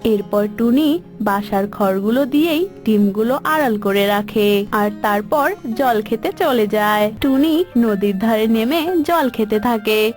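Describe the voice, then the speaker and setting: A woman's voice: on the high side, darkish tone. A woman reading scripted narration as the storyteller of a cartoon folk tale.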